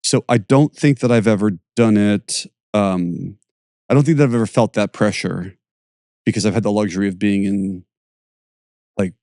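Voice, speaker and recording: A man with a lowish voice hosts a podcast conversation. He talks in an energetic, performative way, and the sound is close and dry. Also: moderate pace, no room sound. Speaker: podcast host and fitness teacher.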